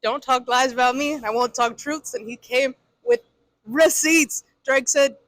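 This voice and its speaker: A woman's voice: high voice, measured pace, fairly bright tone, no echo. A woman answering a street interview outdoors, talking casually but emphatically as she builds her point. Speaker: interviewee, passer-by.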